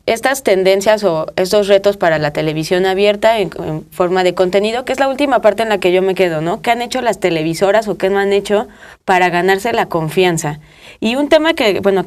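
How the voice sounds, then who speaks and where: A woman with a Mexican Spanish accent hosting a conversational studio interview. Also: mid-range voice. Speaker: program host.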